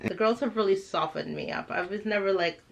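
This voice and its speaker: A woman from Trinidad speaks in a mid-range voice with plenty of gravelly fry. She tells personal stories casually and emphatically, as a vlogger would. There is faint noise behind her. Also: medium pitch, brisk. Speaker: vlogger and parent of six. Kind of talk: casual chat, personal storytelling.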